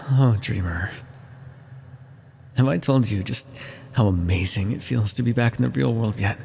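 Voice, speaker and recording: A man playing an ancient, possessive godlike villain in an intimate ASMR audio roleplay, patient and condescending, with menacing tenderness and a gravelly, husky voice. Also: medium pitch, measured pace, long pauses, a little mellow, slight background noise. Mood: wistful, tender. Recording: long reverberant echo.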